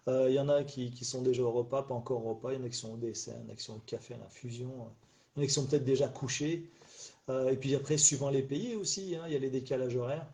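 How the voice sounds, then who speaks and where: Middle-aged man, live-stream host, stuffy from a cold, warm, relaxed tone, halting delivery full of fillers. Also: mid-range voice. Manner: rambling, hesitant, many fillers and restarts. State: slightly stuffy after a cold. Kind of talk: casual solo live chat. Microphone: phone or webcam on a live stream.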